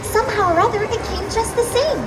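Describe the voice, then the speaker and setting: A woman telling a rhyming children's story theatrically, with dramatic pauses and a playful, animated delivery. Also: extremely high pitch. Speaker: costumed character storyteller. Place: theme park live show. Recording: echoey live event, loud music and crowd. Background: loud music and crowd.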